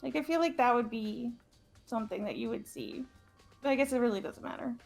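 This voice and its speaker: A woman's voice: on the high side, brisk, gravelly, darkish tone, faint music behind. A woman chatting casually as a cast member during a group game playthrough. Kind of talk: casual chat.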